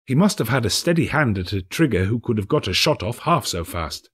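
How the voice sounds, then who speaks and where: A man narrating a classic story as an audiobook, with theatrical, measured storytelling. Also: mid-range voice, sing-song, a bit bright, husky. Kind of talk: expressive literary narration.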